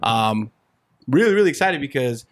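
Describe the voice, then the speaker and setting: A man's voice: medium pitch, very animated, moderate pace, no echo. Man, young adult, podcast host, casual, friendly, Spanish words mixed in, dry close sound. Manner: laid-back, friendly, mixes in Spanish words. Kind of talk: casual interview chat. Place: cigar shop.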